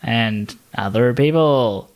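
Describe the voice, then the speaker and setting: A man with an average-pitched voice co-hosts a casual podcast. He talks bluntly and swears freely as he banters about films and games. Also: slow. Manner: blunt, foul-mouthed. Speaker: podcast co-host.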